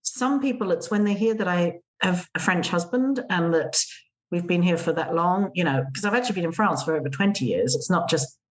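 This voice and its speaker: A woman's voice: fairly low pitch, animated, brisk. A middle-aged woman with an English accent, chatting casually as a podcast guest about hosting travellers. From England. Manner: chatty, acts out guests' voices in quotes. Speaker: gîte owner, guest.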